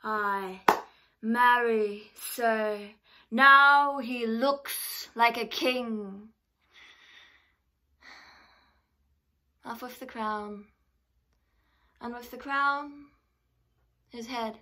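A woman's voice: on the high side, drawn-out words, pauses often, bright, forceful tone, full character voice. A woman performing a vengeful, mocking queen in a Shakespearean monologue, theatrical and declamatory. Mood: gloating, commanding. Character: vengeful, mocking English warrior queen taunting a captive.

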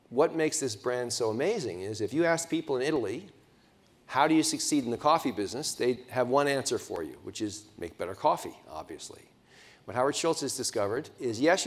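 Older man giving a keynote at a podium. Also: medium pitch. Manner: engaging, asks the audience questions, frequent restarts. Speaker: keynote speaker. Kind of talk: conference keynote lecture. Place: large indoor hotel ballroom. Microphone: lapel mic at a podium.

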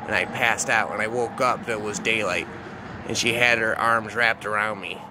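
A man telling a dark first-person story in a casual, rambling way, often adding asides and calling the listener "man". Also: fairly low pitch, fairly even intonation, normal speed, noisy background.

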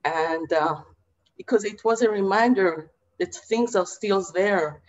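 A middle-aged woman from Israel speaking as a panelist poet on a video call from her home study, measured and thoughtful with many pauses and fillers. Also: medium pitch. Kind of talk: reflective panel talk. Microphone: video call webcam. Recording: dull lo-fi video call audio.

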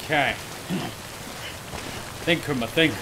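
A man's voice: on the high side, takes it slowly, noisy background. A man giving casual gameplay commentary, muttering to himself and swearing in exasperation. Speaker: gaming streamer.

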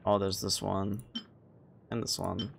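A man's voice: low-pitched, level tone, slow, gravelly, background noise. A man chatting casually on a gaming livestream in a wry, sweary, muttering way. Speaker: live streamer. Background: game music.

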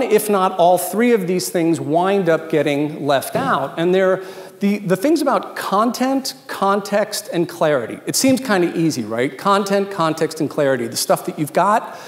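An American man in his fifties with a notably high voice addresses a large, reverberant hall. He speaks as a keynote presenter, informal and conversational, dropping in jokes and emphatic asides. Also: animated, unhurried. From Washington, D.C., United States. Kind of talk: informal after-dinner keynote talk. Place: large event hall with dinner tables, indoors. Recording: echoey hall with long reverb.

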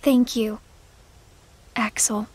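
High-pitched, pleading teenage girl. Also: little pitch movement, very slow, background noise. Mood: pleading, sad. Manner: soft, pleading. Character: gentle, desperate teenage girl, pleading, sorrowful. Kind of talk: video game voice acting.

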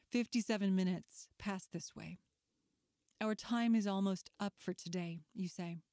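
Measured woman narrator, mellow and dry. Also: mid-range voice, no room sound. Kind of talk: scripted narration for an analog horror film trailer.

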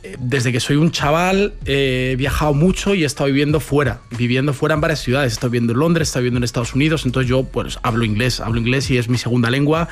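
Un hombre de Madrid, rapero invitado, que habla relajado y coloquial, con muletillas. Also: male voice, medium pitch, fast talker, few pauses, soft background music. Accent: Madrid Spanish. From Spain. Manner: relaxed, slangy, many fillers like "¿no?" and "tío". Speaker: rapper guest. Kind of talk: casual interview answers.

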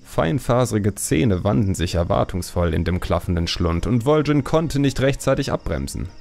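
A man reading a fantasy audiobook as narrator in a measured, deliberate, dramatic storytelling style. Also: on the low side, animated, creaky, a little mellow.

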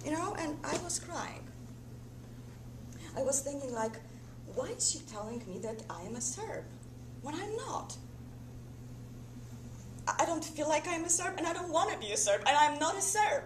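Actress doing a bitter distressed mom in a stage monologue, high voice that swings all over, noisy recording. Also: female voice, big pitch swings, reverberant, full character voice. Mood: agitated, bewildered. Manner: halting, repetitive, emotionally dramatic. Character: distressed, bitter Croatian wife and mother, agitated. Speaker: stage actor. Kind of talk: theatrical one-woman monologue. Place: indoor theatre stage, rehearsal. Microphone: camera a few metres away. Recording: noisy theatre camera recording with hum.

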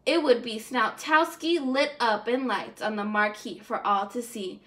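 A woman's voice: a little high, a little slow, fairly bright tone. A young woman reading a storybook aloud to first graders as a teacher, expressively and with the odd stumble over words. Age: young adult. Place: indoors, small room at home.

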